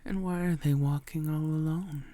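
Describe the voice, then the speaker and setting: A woman playing an infatuated, predatory female vampire in an intimate audio roleplay, murmuring in a deliberate, theatrical and often breathy way. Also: low voice, fairly even intonation, mellow, no room sound, close to an everyday voice. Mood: brooding, enchanted.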